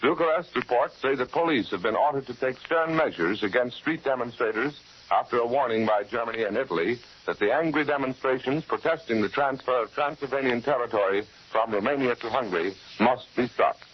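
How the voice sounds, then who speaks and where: Male 1940s radio news anchor, husky mid-pitched voice, scripted bulletin, muffled vintage sound. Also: brisk.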